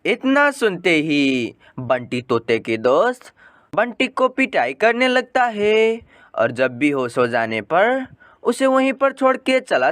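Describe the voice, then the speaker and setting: A man's voice: high-pitched, a bit bright. A man narrating a scripted animal fable for a cartoon as its storyteller.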